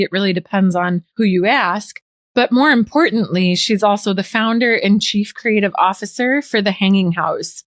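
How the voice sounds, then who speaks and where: A woman's voice: medium pitch, dry recording. A woman in her forties from the United States, hosting a podcast interview in an upbeat, friendly way. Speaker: podcast host.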